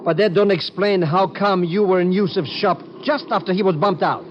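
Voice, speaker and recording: An actor playing a naive, streetwise comic sidekick in old radio drama, slangy, ungrammatical and easily flustered. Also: male voice, sits high, normal speed, a little hiss or hum, natural voice. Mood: baffled, indignant. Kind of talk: golden age radio drama acting. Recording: muffled old radio recording.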